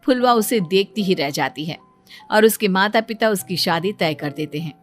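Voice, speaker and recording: A woman's voice: medium pitch, expressive, normal speed. Woman narrating a cartoon story over music.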